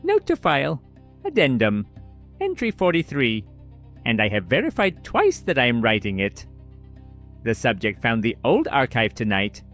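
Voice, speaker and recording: A man's voice: medium pitch, huge pitch swings, normal speed, fairly bright tone, faint music behind. Man voicing a clipped, wildly swinging paranoid note-keeper. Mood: formal, uneasy. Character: lone male note-keeper, paranoid, dryly formal. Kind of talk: audio fiction, dictated file notes.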